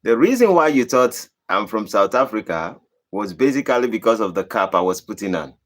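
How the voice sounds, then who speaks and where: A man from Nigeria with a Nigerian accent, hosting a live stream and answering viewer questions in a deliberate, emphatic way. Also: big pitch swings. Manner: deliberate, emphatic, rising to high emphatic bursts. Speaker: channel host.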